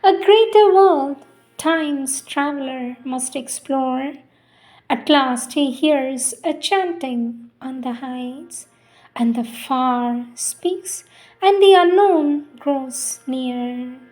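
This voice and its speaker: Very slow-speaking woman reciting solemn poetry. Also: high voice, darkish tone. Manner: measured, deliberate phrasing with pauses. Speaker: reader of spiritual poetry. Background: music.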